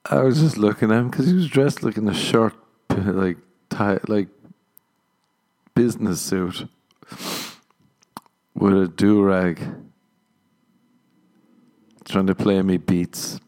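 Man, lowish voice, casual podcast monologue, rambling, swearing, laughing. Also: slow pace, pauses often, darkish tone. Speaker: comedian and podcast host. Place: living in Los Angeles.

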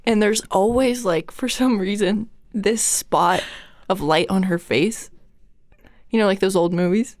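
A woman in her twenties co-hosting a podcast in casual chat, self-mocking and quick to laugh. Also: mid-range voice, dry recording, clean studio sound. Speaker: podcast co-host.

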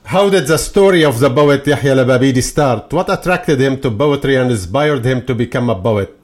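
Host: mid-pitched man, formal and deliberate, reads the introduction carefully. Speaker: interview host. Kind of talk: formal introduction and interview questions.

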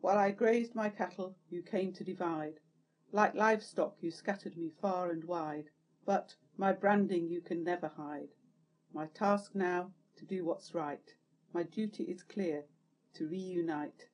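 Low-voiced woman moderating a panel. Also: measured pace, a little mellow, low-quality recording. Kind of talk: poetry reading and panel chat.

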